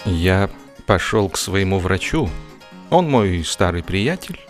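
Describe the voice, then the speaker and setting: A man narrating a humorous first-person story in a theatrical, expressive audio-drama style. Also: sits low, takes it slowly. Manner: expressive, acting out comic hesitations and dialogue. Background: music.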